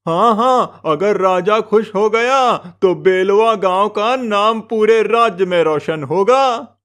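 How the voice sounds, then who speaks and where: A man's voice: high-pitched, slow, a bit bright. A man voicing an admiring, cheerful villager in a cartoon moral story, full of enthusiastic praise. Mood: excited. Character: admiring, cheerful village gossip, ordinary townsman.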